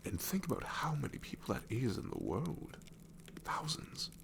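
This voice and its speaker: A man's voice: huge pitch swings, moderate pace. A man playing an ancient, sassy shadow demon in an ASMR roleplay, wry and world-weary but tenderly reassuring, often slipping into a whisper. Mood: gently reassuring. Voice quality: very deep, gravelly, often breaking into whisper.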